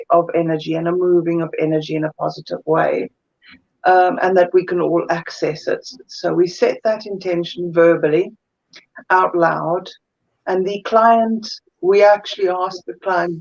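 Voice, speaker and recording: A woman with a South African accent, a guest energy healer chatting thoughtfully in an interview and often pausing to find her words. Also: on the low side, a little mellow, muffled recording. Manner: thoughtful, searches for words, many fillers. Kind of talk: casual interview chat.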